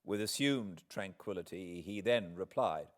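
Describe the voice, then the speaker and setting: A man's voice: sits low, expressive, measured pace. A man with a British accent giving a dramatic literary narration as an audiobook reader. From Britain.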